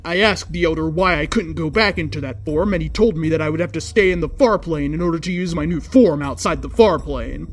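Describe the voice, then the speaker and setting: A woman reading a fan-written story aloud as a dramatic narration. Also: low-pitched. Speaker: fanfiction reader. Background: background music.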